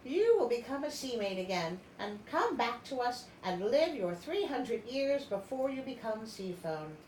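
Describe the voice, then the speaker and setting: Storybook mermaid sister, woman performer: urgent, slangy, animated pitch, faint noise behind. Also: a little slow, reverberant, poor audio. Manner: slangy, insistent.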